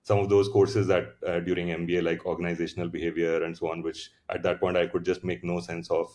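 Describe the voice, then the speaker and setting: Low-voiced Indian man, reflective and halting. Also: little pitch movement, a little mellow. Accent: Indian English. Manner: reflective, many fillers and restarts. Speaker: founder, podcast guest. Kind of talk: casual interview storytelling.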